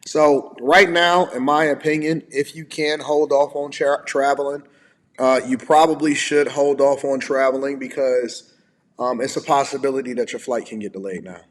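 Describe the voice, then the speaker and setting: A man's voice: mid-range voice, steady tone, moderate pace. A man giving casual, off-the-cuff opinion commentary as an online commentator. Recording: roomy with long reverb.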